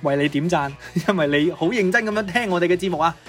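A man with a Cantonese accent co-hosts in casual banter, playful, expressive and exclamatory. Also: high voice, fast, over music. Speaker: podcast co-host.

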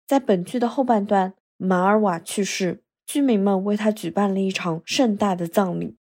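A woman's voice: medium pitch, dark tone. A woman hosting a podcast, reading scripted narration. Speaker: podcast host. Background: film score music.

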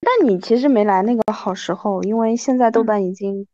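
A woman's voice: medium pitch, fairly quick, mellow, no room sound, muffled recording. A woman in her thirties chatting casually like with an old friend, in a playful, self-mocking way. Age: 30s. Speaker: podcast host.

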